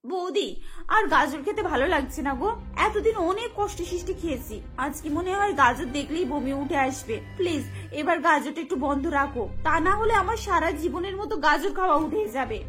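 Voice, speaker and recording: A woman's voice: high voice, lively intonation, normal speed, fairly bright tone, faint music behind. A woman voicing a fed-up young sister-in-law in a cartoon, complaining and pleading.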